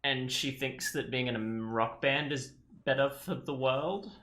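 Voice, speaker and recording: Bright-voiced man doing game commentary. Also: medium pitch, steady tone, unhurried. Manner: tentative, musing aloud. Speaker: gaming video host. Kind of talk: casual let's play commentary.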